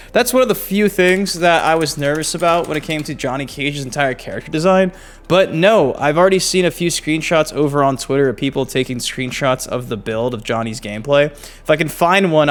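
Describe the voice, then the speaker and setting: A man hosting a gaming channel, giving excited casual commentary and swearing freely. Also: on the high side. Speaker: gaming YouTube host. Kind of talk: casual commentary on game clips.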